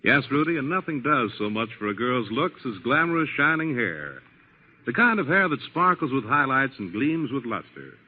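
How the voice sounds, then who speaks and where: Animated 1940s American radio announcer. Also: male voice, mid-range voice, normal speed, phone-quality sound. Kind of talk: 1940s radio advertising pitch. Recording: band-limited vintage 1940s radio recording.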